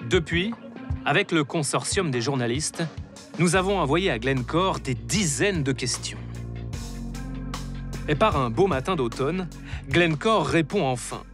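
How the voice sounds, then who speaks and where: Guy doing documentary voiceover, a bit high, dry ironic tone, music under it. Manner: wry, ironic. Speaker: documentary narrator. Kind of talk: scripted investigative voice-over. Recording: narration over music.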